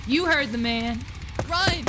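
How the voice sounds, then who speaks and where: A woman's voice: high-pitched, lively intonation, takes it slowly, heavy background noise. A woman voicing a cocky, tough young zombie fighter with a bold, blunt, wisecracking manner, in a dramatized horror comic. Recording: loud music behind the voice.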